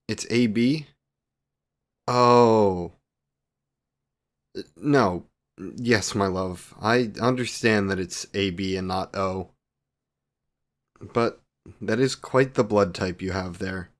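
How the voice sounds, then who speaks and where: A man playing a devoted, wry vampire boyfriend in a scripted romantic audio roleplay, speaking in ornate, formal phrases. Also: on the low side. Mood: playful, doting.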